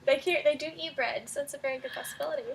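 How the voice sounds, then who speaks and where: A woman reading a picture book aloud to children as their teacher, pausing to prompt them with questions in an encouraging way. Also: high voice, steady tone, vocal fry. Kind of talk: read-aloud storytelling with questions to young listeners.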